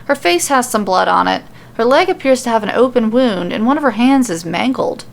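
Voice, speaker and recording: A woman's voice: fairly high pitch, expressive, brisk, gravelly. A woman reading scripted fiction as the narrator of an audio story.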